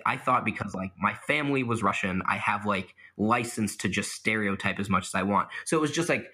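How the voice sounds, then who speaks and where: A man's voice: on the low side, bright, forceful tone. A young man answering interview questions casually, self-deprecating and laughing at his own stories, with plenty of fillers. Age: young adult. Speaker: guest, mock trial competitor.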